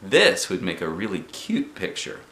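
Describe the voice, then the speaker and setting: A man voicing a gentle, encouraging mother in a storybook, soft and coaxing. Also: low voice, very animated, measured pace, echoey room, full character voice. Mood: admiring.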